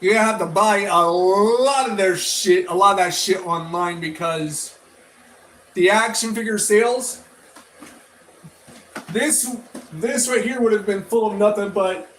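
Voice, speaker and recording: A man giving rambling, casual opinion commentary as a review vlogger, halting and often repeating and restarting his phrases. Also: sits high, fairly bright tone, a little hiss or hum, poor audio. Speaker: toy and media review vlogger.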